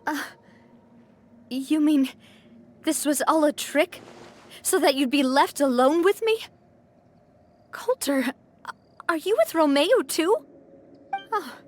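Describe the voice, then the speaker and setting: An actress playing a young woman gang boss in a video game, earnest and resolute yet wounded, speaking in a measured, deliberate way. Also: high voice, a little hiss or hum. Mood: shocked realization. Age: young adult. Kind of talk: dramatic video game voice acting.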